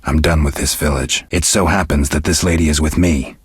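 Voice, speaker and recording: A man playing a stoic, world-weary middle-aged monster hunter in a video game, speaking in a gravelly, husky voice with dry, laconic restraint. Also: extremely low pitch, steady tone, brisk, no echo, exaggerated voice. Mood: measured, guarded. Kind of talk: scripted video game character dialogue.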